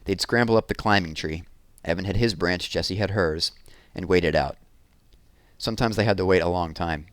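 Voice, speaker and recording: A man reading a children's chapter book aloud as narrator, in an expressive storytelling style. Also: deep voice, moderate pace, no room sound.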